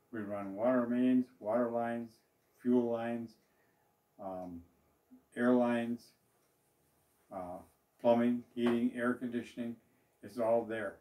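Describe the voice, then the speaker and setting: A man's voice: mid-range voice, warm tone. Older man, rambling chat to camera, echoey small office. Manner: plain, rambling, with fillers and restarts. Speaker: business owner. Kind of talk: speaking to camera about his business. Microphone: far camera. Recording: roomy echo, voice from a distance.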